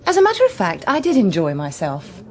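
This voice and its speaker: A woman's voice: mid-range voice, huge pitch swings, fairly quick, darkish tone. An actress playing a guarded professional woman who answers in a clipped, defensive manner. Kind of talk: British political drama acting.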